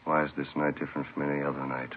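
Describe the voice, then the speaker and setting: An actor playing a matter-of-fact police detective in a vintage radio crime drama, speaking plainly and quietly. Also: male voice, rumbling low, level tone, rapid-fire, narrow, tinny audio. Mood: understated. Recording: band-limited, noisy old radio recording.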